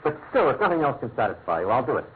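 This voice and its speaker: Actor as excitable, quick-talking English statesman. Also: medium pitch, close to an everyday voice. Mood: irritated. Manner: proper, fussy, exclamatory. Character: excitable, worried English government minister and husband. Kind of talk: classic radio drama acting. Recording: thin phone-like radio sound, clear noise.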